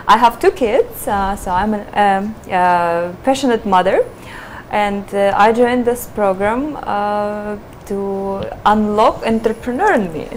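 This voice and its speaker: Woman from Kyrgyzstan, Russian accent, conversational panel guest, mid-pitched voice, slight background noise. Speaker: panelist, MBA student, former lawyer. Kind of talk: casual panel introduction.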